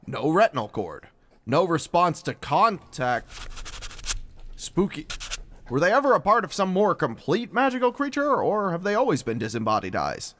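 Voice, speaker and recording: Man acting as eccentric middle-aged male paranormal researcher, obsessive, erudite, theatrical journal narration, highly animated intonation, slightly raised pitch. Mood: uneasy intrigue. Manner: theatrical, erudite, emphatic delivery. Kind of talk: dramatic first-person audiobook narration.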